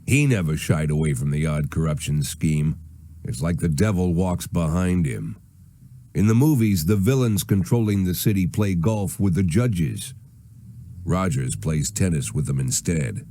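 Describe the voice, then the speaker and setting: An actor playing a jaded, aging police chief in a video game, with wry, world-weary, deadpan noir delivery. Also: male voice, sits low, measured pace. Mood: wry, bitter. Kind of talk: noir scripted narration and drama dialogue.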